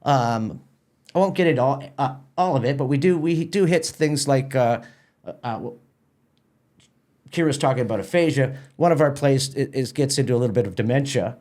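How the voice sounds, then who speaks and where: Canadian guy chatting on a podcast, medium pitch, halting and rambly, keeps doubling back on words. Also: a little mellow. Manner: halting, rambling, repeats words while explaining. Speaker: festival organiser and stage manager, podcast guest. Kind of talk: casual interview chat.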